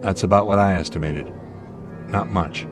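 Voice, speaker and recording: An actor playing a steady, authoritative airline captain in a radio drama, reasoning deliberately and giving commands. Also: male voice, low-pitched, music in the background, lightly acted. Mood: grim, determined.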